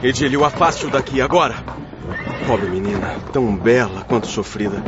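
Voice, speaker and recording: An actor playing a stern but compassionate guardian spirit in a radio drama, speaking formally and firmly. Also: male voice, medium pitch, normal speed, natural voice. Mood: commanding, pitying. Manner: firm, admonishing, formal. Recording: dull lo-fi audio under music.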